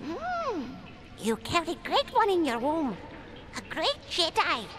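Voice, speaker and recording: A woman voicing a strange, fretful old Jedi hermit in an audio drama, speaking in halting, broken exclamations. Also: very high voice, huge pitch swings, a little hiss or hum, exaggerated voice. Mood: reverent, insistent. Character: odd little old female alien Jedi hermit, fretful, reverent. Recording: loud orchestral score behind.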